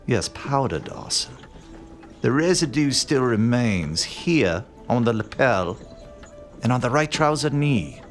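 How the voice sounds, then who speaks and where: An actor playing an aloof, pompous gentleman detective in a radio play, speaking in measured, theatrical phrases. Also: mid-range voice, big pitch swings. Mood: haughty. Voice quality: haughty, measured, theatrical pauses. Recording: faint music behind.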